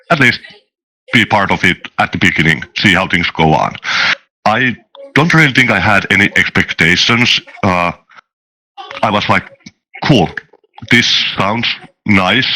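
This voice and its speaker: A man chatting casually as a guest, halting and thoughtful, often repeating words as he goes. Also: deep voice, pushed and bright, rough recording. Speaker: interview guest, tabletop game master. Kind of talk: casual chat.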